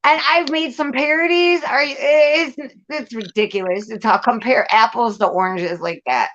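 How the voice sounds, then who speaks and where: A woman's voice: a little high, normal speed, fairly bright tone, muffled recording, a little hiss or hum. A woman venting candidly and casually as host, blunt and profane, leaning hard on repeated phrases for emphasis. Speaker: host of a live commentary show.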